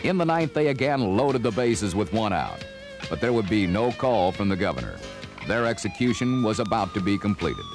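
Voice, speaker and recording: A man's voice: fairly low pitch, very animated, normal speed. A man reading a scripted sports season documentary as narrator, with a dramatic storytelling delivery. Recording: music behind, old vinyl record. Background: music.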